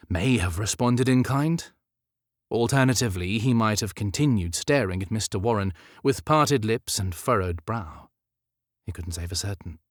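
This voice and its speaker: Male audiobook narrator, low register, measured and expressive storytelling, close dry studio sound. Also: no room sound. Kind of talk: scripted narration of historical romance fiction.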